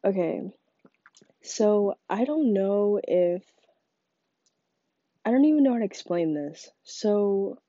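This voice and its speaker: A woman's voice: medium pitch, animated, slow, pauses often, dark tone, no echo, highs cut off. A young woman with a stuffy, congested voice, talking in a rambling casual monologue full of "like" fillers. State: congested from a cold. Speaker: podcast host.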